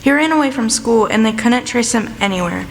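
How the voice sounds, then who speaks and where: A woman's voice: on the high side, animated, fairly quick. A young woman playing a proper, easily scandalised elder sister in a radio play, prim and reproving. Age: teens or young adult. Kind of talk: student radio play acting. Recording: reverberant hall, clear background noise.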